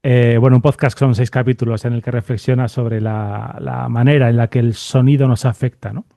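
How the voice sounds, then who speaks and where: A man interviewing a guest conversationally as podcast host, in halting phrases full of repeated tag questions. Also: mid-range voice, little pitch movement, vocal fry, dark tone, dry recording.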